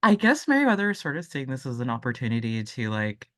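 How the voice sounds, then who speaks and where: A man's voice: medium pitch, wildly swooping pitch, fast, a bit bright, dry recording, studio quality. A man playing a tabletop game, casually and tentatively talking through his character's choices. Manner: tentative, thinking aloud.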